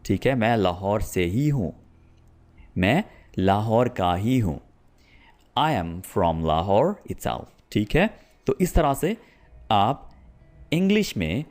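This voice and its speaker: Lively low-voiced male English teacher. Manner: explains patiently, slowly repeats example sentences. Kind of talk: spoken English lesson.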